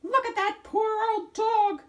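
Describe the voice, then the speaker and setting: Very high, squeaky young squirrel. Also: female voice, fairly even intonation, takes it slowly, fairly bright tone, heavily acted. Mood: mocking. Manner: squeaky, taunting. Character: young cheeky squirrel mocking passersby.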